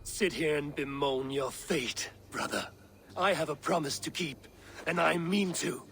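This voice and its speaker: An actor playing a steadfast, defiant Viking warrior in a video game, speaking firmly and solemnly. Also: male voice, on the high side, unhurried, gravelly, fairly bright tone, slight background noise. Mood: resolute, defiant. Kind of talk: dramatic video game acting. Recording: faint game ambience behind.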